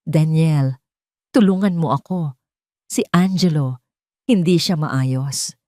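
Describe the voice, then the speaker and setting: Woman doing a filipina mom in a radio drama, desperate n begging, shaky lowish voice. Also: slow, dark tone, no echo, clean studio sound. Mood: panicked. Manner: pleading, trembling. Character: desperate young mother pleading for her sick son.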